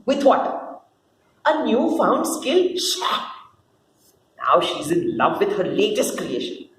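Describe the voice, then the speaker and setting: Woman performing a sneering, theatrical serpent, slow and swooping. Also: mid-range voice, sing-song. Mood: scornful. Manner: sneering, melodramatic, repeats words, drawn-out phrases. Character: scheming, jealous, theatrical serpent, mocking fallen angel. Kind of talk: dramatic reading of a stage play. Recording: roomy echo with clear background noise.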